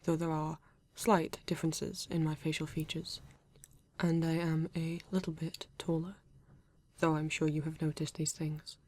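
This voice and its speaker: Woman as a low, even-voiced British male android. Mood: polite, reserved. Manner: formal, stiff, deliberate phrasing with halting pauses. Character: formal reserved male android police detective, newly awakened. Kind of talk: ASMR roleplay addressed to the listener.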